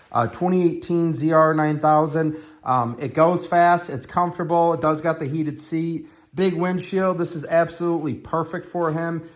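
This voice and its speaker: A young man vlogging casually from his snowmobile, excited and chatty with lots of fillers, talking into a helmet mic outdoors. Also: fairly high pitch. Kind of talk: casual vlog narration. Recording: loud engine noise behind.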